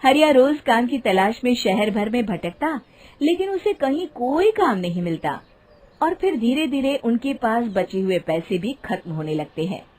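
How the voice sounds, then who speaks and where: A woman narrating an animated moral story as a scripted storyteller. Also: fairly high pitch, a little hiss or hum.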